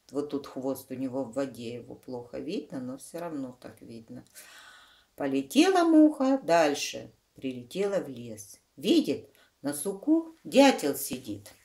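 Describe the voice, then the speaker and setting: Low-pitched, slow woman reading to children. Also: dark tone. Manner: simple, explanatory, points out the pictures to children. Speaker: children's book reader. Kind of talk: read-aloud storytelling with asides to young listeners.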